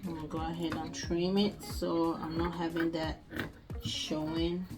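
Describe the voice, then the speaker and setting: A woman's voice: deep voice, little pitch movement, a little slow, music in the background. A woman in her thirties with a Nigerian accent, casually talking through a sewing project step by step and thinking aloud as she works. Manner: chatty, thinking aloud while working. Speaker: sewing tutorial host. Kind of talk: casual step-by-step crafting tutorial.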